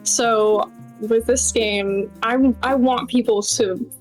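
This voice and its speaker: A woman speaks as a guest game developer in a casual interview. Her voice sits fairly high and she talks informally, with plenty of conversational asides. Music plays behind her. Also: steady tone, takes it slowly, low-quality recording. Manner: chatty, informal, many "like" and "you know" asides.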